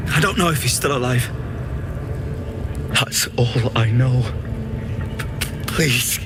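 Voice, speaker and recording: A man voicing a frightened captive adventurer in a video game, meek and pleading with a halting stammer. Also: fairly high pitch, background noise. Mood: fearful pleading. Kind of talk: video game character acting. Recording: music behind the voice.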